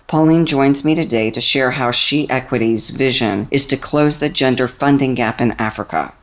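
A woman hosting a leadership podcast, reading a scripted introduction and asking interview questions in a measured way. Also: a bass voice. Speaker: podcast host. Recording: soft music bed under the voice.

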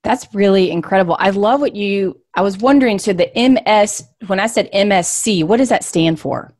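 A woman's voice: medium pitch, moderate pace, a little mellow, no echo, studio quality. A woman hosting a conversational interview, speaking in an effusive, affirming way. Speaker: show host.

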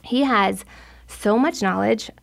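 A woman's voice: medium pitch, takes it slowly, vocal fry. A woman hosting a podcast interview, eager and effusive, asking long rambling questions. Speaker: podcast host. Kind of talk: casual interview.

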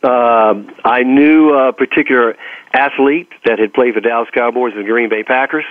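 A man's voice: medium pitch, normal speed, no room sound. A man with a Texas accent speaks like a motivational coach being interviewed. He leans hard on key words and repeats phrases to drive them home. The sound is dry, narrow and muffled, like lo-fi radio or a phone call. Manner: emphatic, repeating phrases for effect. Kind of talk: motivational talk and personal storytelling in an interview.

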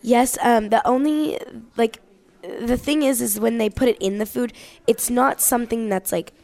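A woman's voice: on the high side, steady tone, moderate pace, gravelly, a little mellow. A twelve-year-old girl chatting casually as a guest in an interview. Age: child, twelve years old. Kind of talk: casual chat. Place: at a conference.

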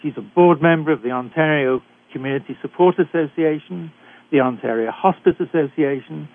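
An older British man hosting a talk radio show, introducing guests in a measured, deliberate way with occasional hesitations. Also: fairly high pitch. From Britain. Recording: dry, tinny phone-like line.

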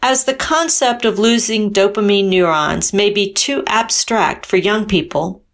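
Measured woman artist narrating. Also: medium pitch. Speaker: artist presenting own artwork. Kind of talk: scripted explanatory narration.

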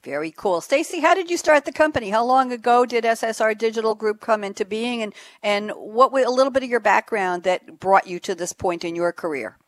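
A woman's voice: on the high side, fairly quick, few pauses, no room sound. A woman hosting a radio talk show, upbeat and a little theatrical as she introduces guests.

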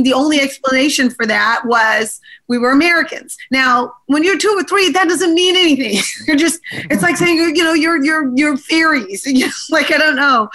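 A woman's voice: high voice, bright, forceful tone. A woman candidate answering interview questions in a chatty, talkative way, laughing often and restarting her sentences. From born abroad to diplomat parents. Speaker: congressional candidate guest.

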